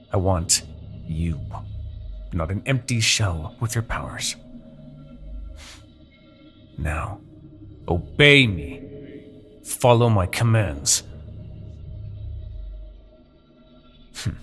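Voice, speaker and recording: A man playing a smug, commanding Demon King in a fantasy ASMR roleplay, taunting and possessive, with theatrical, deliberate phrasing. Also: deep voice, gravelly, fairly bright tone, full character voice. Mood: commanding, scornful.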